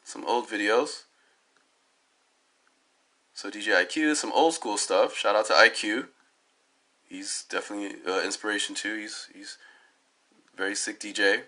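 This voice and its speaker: A hoarse man with a cold, rambling through a self-recorded phone commentary with lots of fillers and restarts while he clicks through web pages. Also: fairly low pitch, a little slow, long pauses, sharp tone. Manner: tangential, many fillers and restarts. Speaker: DJ giving his side of a dispute. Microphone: phone held up at arm's length.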